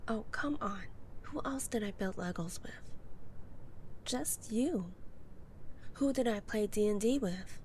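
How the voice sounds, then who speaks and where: A woman playing a college-age goth friend in an ASMR roleplay, speaking softly, often in a breathy near-whisper, with playful, dry teasing. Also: mid-range voice, animated, slow pace, long pauses, a little hiss or hum. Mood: happy, teasing.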